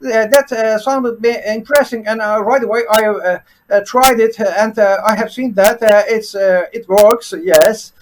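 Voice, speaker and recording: A man with a Turkish accent and a fairly high voice, hosting his own programming channel. He talks haltingly, filling almost every pause with "uh" while he shares his screen. A faint hiss sits under the recording. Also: steady tone. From Turkey. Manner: halting, with constant "uh" fillers between words. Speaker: programming teacher and channel host. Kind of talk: unscripted screen-share presentation.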